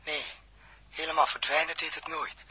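An actor playing a grave, brooding stranded astronaut in a radio drama, speaking haltingly and intensely in a husky, breathy voice. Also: male voice, on the high side, little pitch movement, pushed and bright, exaggerated voice. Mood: awed, haunted. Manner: halting, intense, pausing between phrases. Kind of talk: 1960s radio drama acting.

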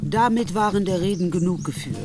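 Ein Mann erzählt als literarischer Erzähler eine Geschichte in einem Hörspiel, nach geschriebenem Text. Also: male voice, high-pitched, a little fast, over loud music. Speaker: narrator. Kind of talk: literary scripted narration in a radio play.

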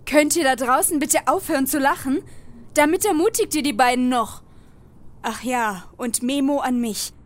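A woman playing an exasperated, sharp-tongued adventurer in a comedy audio play, talking in a sarcastic, scolding way. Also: high voice, moderate pace, natural voice.

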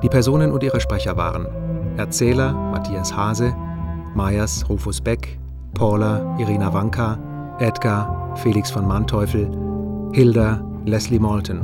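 A man formally reading scripted radio play credits as an announcer. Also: lowish voice.

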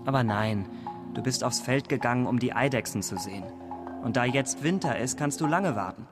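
An actor playing a protective, teasing brother in a dream scene of a radio drama, speaking in a familiar manner. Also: male voice, medium pitch, moderate pace, natural voice. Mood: teasing, reassuring. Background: music.

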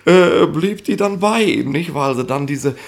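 An actor playing a jovial, overbearing police inspector in a 1960s German radio play, talking down in a rambling, colloquial, gravelly voice. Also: male voice, on the high side, lively intonation, a little slow. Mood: coaxing, mock-paternal. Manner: colloquial, rambling, patronising, chuckling bureaucrat.

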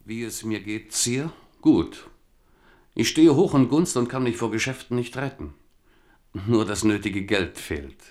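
Radio drama part: loyal soldier-poet, low register, formal, declamatory, bitterly aggrieved and pious. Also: male voice, lively intonation, unhurried, a little mellow. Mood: bitter, reproachful. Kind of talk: German radio drama acting.

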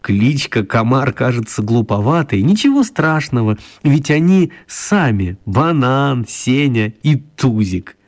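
A man narrating a children's story for radio in an expressive storytelling style. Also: medium pitch, a little slow, hardly any pauses.

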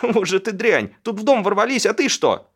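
A man's voice: high-pitched, big pitch swings, moderate pace, husky, voice pushed up, heavily acted. A man voicing an indignant, combative middle-aged woman in an audiobook, screaming and scolding in outrage.

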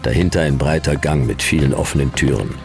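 Noir radio drama lead: laconic private eye, extremely low creaky voice, weary and dry. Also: male voice, fairly quick, music in the background. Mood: weary narrating. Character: hard-boiled male private detective narrating his case, dry, world-weary. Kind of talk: noir radio play narration and dialogue.

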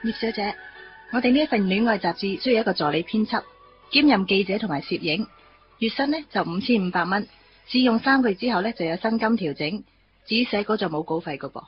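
A woman's voice: mid-range voice, expressive, quick pace, close to an everyday voice. Actress as a businesslike woman boss, slightly muffled. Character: businesslike female magazine editor, slyly thrifty boss. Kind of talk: Cantonese radio drama acting. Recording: dull radio drama recording with music.

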